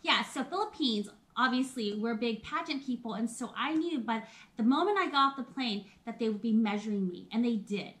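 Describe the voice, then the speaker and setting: A woman in her thirties with a somewhat high voice hosts a live stream. She chats openly and personally, often breaks into laughter, and keeps turning to address her viewers. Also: brisk, fairly bright tone. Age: 30s. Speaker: host of a live video. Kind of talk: casual live-stream chat.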